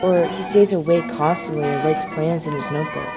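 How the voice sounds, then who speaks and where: A woman's voice: low voice, steady tone, brisk, natural voice. A woman playing a kindly, chatty, slightly scatterbrained Victorian wife in an audio drama, speaking hesitantly. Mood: worried. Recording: music under the voice.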